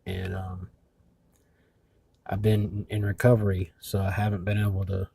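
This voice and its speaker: A man hosting a video in casual narration, speaking haltingly with labored speech after mouth surgery. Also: low voice, flat delivery, gravelly, dark tone, slightly rough, no room sound. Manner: halting, hesitant. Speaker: video host.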